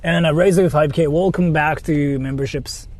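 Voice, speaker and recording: A man's voice: on the high side, steady tone, normal speed. A man livestreaming, chatting casually with viewers and reading donations in a rambling, joking way full of fillers. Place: in Japan.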